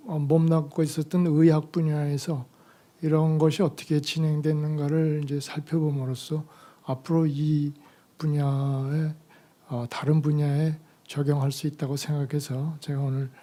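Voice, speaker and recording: Hoarse older man with a mellow voice. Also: fairly high pitch, level tone. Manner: halting, slow delivery with many fillers. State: hoarse from a cold. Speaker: retired medical professor giving a conference lecture. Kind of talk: academic lecture.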